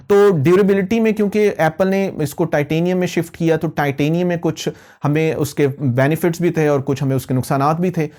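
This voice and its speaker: A man's voice: fairly high pitch, a little mellow. A man hosting a question-and-answer tech video, explaining conversationally to viewers and mixing in English phrases. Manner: mixes English phrases into speech. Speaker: host of a tech Q&A channel.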